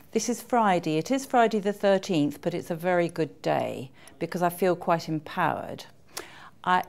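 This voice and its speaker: Low, creaky-voiced middle-aged woman. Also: darkish tone. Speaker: seminar attendee, author. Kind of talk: informal testimonial to camera.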